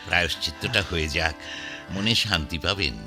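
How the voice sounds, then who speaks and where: An actor playing a fawning family priest who coaxes and tut-tuts in a wheedling way. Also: male voice, deep voice, normal speed, bright, forceful tone, faint music behind. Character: fawning middle-aged family priest, sanctimonious. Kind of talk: radio drama acting.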